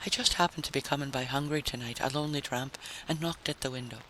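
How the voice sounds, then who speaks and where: A man plays a sprightly, shifty wanderer spinning fanciful tales in old radio drama. His voice is very bright and crisp in its forcefulness, earnest and coaxing, over faint recording noise. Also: mid-range voice, fast, close to an everyday voice. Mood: hurried, conciliatory. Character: sprightly, fanciful male tramp, silver-tongued and shifty. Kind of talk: 1950s science fiction radio drama. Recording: noisy vintage radio recording.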